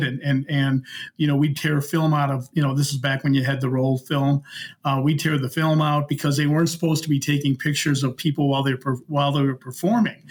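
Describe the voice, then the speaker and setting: Higher-pitched man telling casual stories. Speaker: podcast guest, former concert security worker. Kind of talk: casual anecdotal storytelling.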